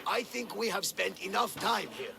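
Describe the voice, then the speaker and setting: An actor playing an excitable, devout older Greek man with a gravelly voice and a theatrical, reverent manner in a video game. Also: sits high, moderate pace, over music, full character voice. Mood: awed, eager. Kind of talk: video game dialogue acting.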